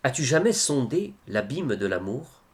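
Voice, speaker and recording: A man reciting devotional poetry in a solemn style, with measured, expressive phrasing and pauses. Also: mid-range voice.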